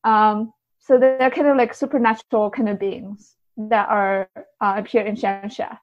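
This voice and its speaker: A woman's voice: mid-range voice, steady tone, normal speed. A woman explaining things as a podcast guest in a casual, tentative way, often hedging and saying "like". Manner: tentative, hedging, peppers talk with "like". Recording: dull, slightly lo-fi audio.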